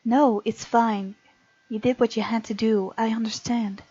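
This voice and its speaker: A woman's voice: fairly high pitch, steady tone, measured pace, dark tone, husky. A woman hosting a game playthrough, reading visual novel narration aloud and adding her own commentary. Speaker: game let's-play host. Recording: dull sound, music behind. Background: game music.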